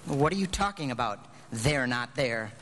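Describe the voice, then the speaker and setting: A man's voice: mid-range voice, expressive, moderate pace, a bit bright, a little hiss or hum, lightly acted. An actor playing an earnest, anxious, bewildered everyman who stammers and pleads incredulously in a live radio drama. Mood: disbelieving. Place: theatre stage. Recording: live stage radio play with music.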